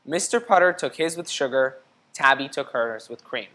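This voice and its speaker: A woman with a low-pitched voice reads a picture book aloud for children. She is expressive and teacherly, moving between narration and questions put to her listeners. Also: moderate pace. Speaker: storybook reader.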